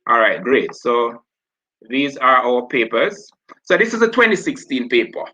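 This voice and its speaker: A man's voice: medium pitch, very animated, measured pace, pushed and bright, husky, muffled recording. A man from Jamaica with a Jamaican accent, hosting a live online revision session and talking through past papers as he goes. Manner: talks while working through papers, self-correcting.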